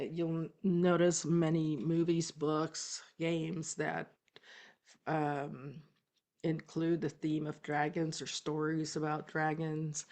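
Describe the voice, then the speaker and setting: A woman from the Philippines, teaching a spiritual course in a relaxed, unscripted way, often pausing with ums and restarting her sentences. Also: deep voice.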